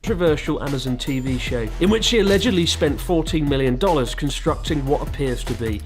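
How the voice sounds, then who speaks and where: A man's voice: fairly high pitch, animated, a little fast. A man narrating a scripted video essay in a sardonic manner. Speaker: YouTube commentator. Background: background music.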